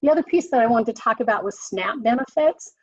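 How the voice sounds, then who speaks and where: A woman official with an American accent, hoarse from a cold, briefing on a conference call, halting with many ums and restarts. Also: a little high, lively intonation, a little mellow, dry recording. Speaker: state education official. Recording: dry muffled call audio.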